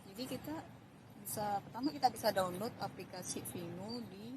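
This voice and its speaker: A woman's voice: mid-range voice, normal speed. A woman giving an informal, hesitant promotional talk in vlog style. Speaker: online business promoter. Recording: loud background noise.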